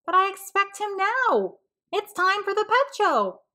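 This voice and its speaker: A woman's voice: extremely high pitch, very animated, measured pace, pushed and bright, pitched above the performer's own voice, exaggerated voice. A woman voicing an eager, determined little boy in a children's storybook. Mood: worried, impatient.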